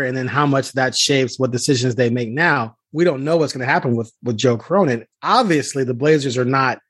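A man's voice: mid-range voice, no room sound. A man hosting a casual sports podcast, speaking in an emphatic, argumentative, opinionated way. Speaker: podcast host and sports reporter.

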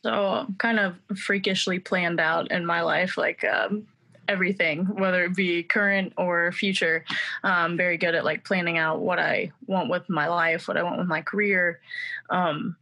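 Young woman in her 20s, bright voice, talks fast and casual w lots of likes and ums, super confident. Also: lowish voice, fairly even intonation, vocal fry, low-quality recording. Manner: chatty, frequent fillers, confident. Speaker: mixed martial arts fighter and student. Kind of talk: casual interview answers.

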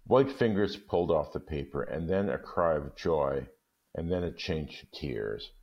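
A man speaking as an expressive story reader, in a literary storytelling style. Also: extremely low pitch, unhurried, highs cut off.

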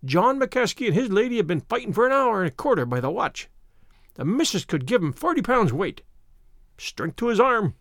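Guy doing storybook cop, amused n emphatic, exclaims a lot, voice kinda high. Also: very animated, normal speed, a bit bright, dry recording, clean studio sound, exaggerated voice. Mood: amazed admiration. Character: amused, exclamatory Irish beat policeman.

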